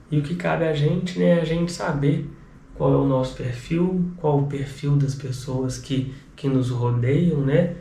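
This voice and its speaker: Brief: Brazilian man with a head cold, mellow low-key voice, recorded from a distance in a roomy space. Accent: Brazilian Portuguese. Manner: rambling, frequent "né?" tag questions and restarts. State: has a cold, voice affected. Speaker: behavioral profile coach. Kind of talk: casual explanatory video lesson. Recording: echoey room, mic at a distance, faint background noise.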